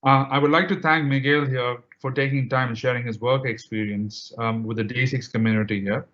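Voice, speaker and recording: A man hosts an online lecture and gives a formal introduction, polite and a little hesitant with fillers. His voice sits at an ordinary middle pitch. The video call audio is dull and muffled. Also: fairly even intonation, brisk, a bit bright. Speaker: event host.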